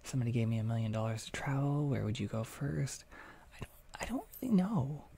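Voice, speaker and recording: A man hosting a relaxed livestream, talking softly and slipping into half-whispers, halting with fillers, his nose a little stuffy. He speaks close to the microphone. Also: medium pitch, vocal fry, a little hiss or hum. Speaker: ASMR audio creator hosting a livestream. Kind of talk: soft-spoken casual livestream chat, reading chat.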